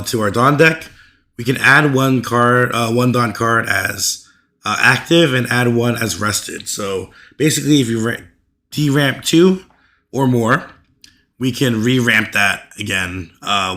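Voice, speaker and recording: Male host, casual deck talk, mid-pitched, voice worn thin by allergies, loose delivery with lots of fillers. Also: fairly bright tone. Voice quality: voice rough and fading. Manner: loose, filler-heavy, with frequent ums and uhs. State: losing his voice from allergies. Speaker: card game content creator. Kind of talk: casual deck overview to camera.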